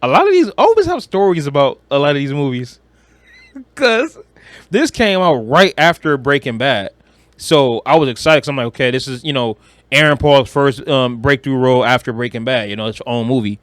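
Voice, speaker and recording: A man's voice: mid-range voice, lively intonation, normal speed, no echo. An American man from Detroit co-hosts a casual movie podcast. His voice sits in a middle register and is recorded dry. He talks like a slangy, joking storyteller, loose and conversational. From Detroit, United States. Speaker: podcast co-host.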